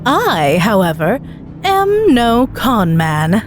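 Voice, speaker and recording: A woman voicing a sly male stage magician in a story, with theatrical, formal, drawn-out patter and menacing politeness. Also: fairly high pitch, wildly swooping pitch, slight background noise, exaggerated voice. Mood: smooth, menacing. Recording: music bed behind the voice.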